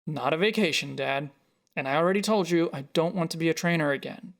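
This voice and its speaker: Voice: medium pitch, close to an everyday voice. Defensive, frustrated grown-up child, acted by a narrator. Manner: halting, then heated. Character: defensive, frustrated adult photographer arguing with a parent. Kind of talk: dialogue in a narrated short story.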